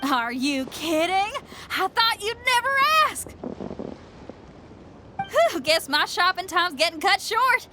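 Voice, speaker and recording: A woman's voice: extremely high pitch, sing-song, slow pace, pauses often, heavy background noise, full character voice. A woman voicing a spirited, friendly young female rock musician in a video game, with a breezy, informal, exclamatory way of talking. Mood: excited, confident. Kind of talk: scripted video game voice acting.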